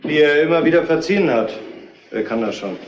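A man's voice: a little high, lively intonation, a little fast, lo-fi sound, lightly acted. Retro radio play: blunt, testy witness, male actor, colloquial, roomy echo and faint noise. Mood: emphatic. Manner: curt, dismissive, colloquial. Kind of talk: retro crime radio drama acting.

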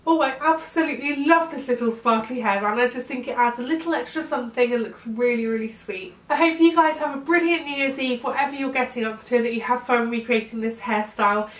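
A woman's voice: on the high side, fast talker, few pauses. A young woman in her twenties with a cold, chatting upbeat through a hair tutorial in her bedroom. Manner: chatty, upbeat, often trails off while styling hair. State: congested from a cold. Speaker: beauty vlogger demonstrating a hairstyle. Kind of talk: casual how-to tutorial. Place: bedroom, indoors. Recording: roomy, slightly distant sound.

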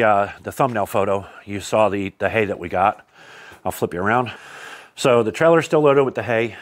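Rambling American man vlogging on a farm. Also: fairly low pitch. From United States. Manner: rambling, thinking aloud with many fillers and restarts. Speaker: homesteader vlogger. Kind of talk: casual vlog talk to camera. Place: farm shed, yard and barn, partly outdoors. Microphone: clip-on lapel mic.

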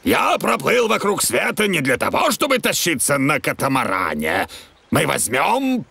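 Booming, animated dog sea captain. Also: male voice, unhurried, gravelly, slightly rough, no room sound, heavily acted. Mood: proud, hearty. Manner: booming, boastful, nautical commands. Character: proud, hearty seafaring dog captain and father. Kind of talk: dubbed children's cartoon acting.

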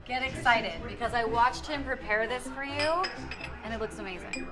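A woman's voice: a little high, moderate pace. A woman presenting a food show in a restaurant, chatting and asking questions with enthusiasm. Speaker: food show host interviewing. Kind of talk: lively on-camera chat and interview. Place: restaurant dining room and kitchen. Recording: loud music behind the voice.

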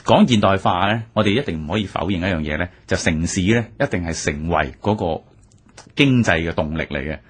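A man with a Hong Kong Cantonese accent and a deep, husky voice. He talks briskly, setting out his analysis point by point as a radio commentator. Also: animated. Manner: orderly, enumerating points.

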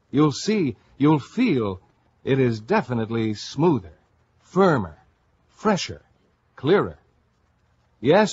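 Animated husky male radio announcer. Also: medium pitch. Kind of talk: 1950s scripted radio advertising. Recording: muffled vintage radio broadcast.

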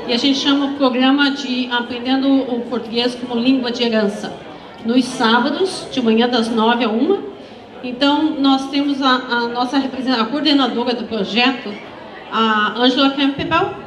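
A woman's voice: high voice, steady tone, normal speed, recorded from a distance. Woman with a handheld mic in an echoey hall. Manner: hesitant, many fillers and restarts. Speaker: event organizer and emcee. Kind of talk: event speech. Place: event hall stage. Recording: echoey hall with crowd noise.

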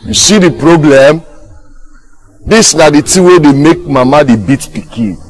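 An actor playing a domineering, sardonic gang boss in a film drama, with a Nigerian accent and a taunting, lecturing manner. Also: male voice, sits low, measured pace, gravelly, a little mellow. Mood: commanding, menacing. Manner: taunting, lecturing, rhetorical questions. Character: domineering, sardonic male gang boss, self-styled master.

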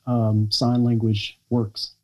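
A man in his forties from the United States, a guest talking earnestly on a video call with lots of pauses and restarts. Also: medium pitch, monotone, warm tone. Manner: earnest, hesitant with many fillers and restarts. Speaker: guest, children's book author. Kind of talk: conversational interview. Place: small home room, indoors.

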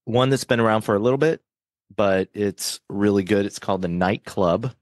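A man co-hosts a horror movie podcast, chatting casually and laughing between jokes. His voice is low-pitched. The close mic sounds dry, with no room around it. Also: moderate pace, no echo. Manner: jokey, laughs often, upbeat plugs. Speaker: podcast co-host.

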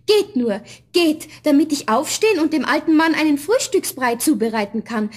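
High-voiced, modest fairy-tale girl. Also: animated, moderate pace. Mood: modest, earnest. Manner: earnest, artless, girlish. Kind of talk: 1970s German fairy-tale audio play acting.

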